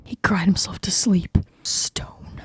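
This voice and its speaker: A woman whispering as a gentle male cat. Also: mid-range voice, lively intonation, takes it slowly, slightly rough, heavily acted. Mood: hushed tender. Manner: hushed whispering. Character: gentle protective young male cat, soft-spoken.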